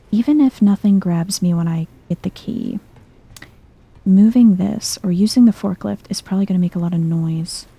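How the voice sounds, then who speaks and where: Very soft, dark-voiced woman, hushed and breathy. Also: mid-range voice. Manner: hushed, unhurried, musing to self, often slipping into whisper. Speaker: video game streamer, let's player. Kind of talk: soft-spoken ASMR gameplay commentary.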